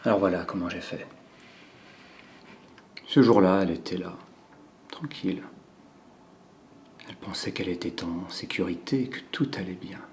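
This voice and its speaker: A man performing a theatrical monologue as a cold, controlling psychopathic killer who savors his confession in a hushed, deliberate way. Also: low voice, a little hiss or hum, close to an everyday voice. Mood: coldly calm. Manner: slow, hushed, deliberate delivery with drawn-out pauses. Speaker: acting student performing a monologue.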